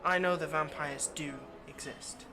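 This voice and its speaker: A performer plays a confident vampire in an audio roleplay who toys with prey. The delivery is teasing and condescending, with a possessive, predatory edge. Music plays clearly behind the voice. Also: mid-range voice, steady tone, a little slow, natural voice. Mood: sly skepticism. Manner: teasing, condescending, drops to whispers. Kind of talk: ASMR roleplay monologue.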